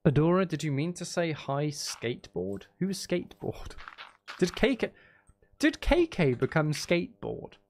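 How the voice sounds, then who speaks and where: A man's voice: fairly high pitch, very animated, a little slow, darkish tone, a little hiss or hum. A man hosting a live stream, chatting casually with viewers in a rambling, chatty way.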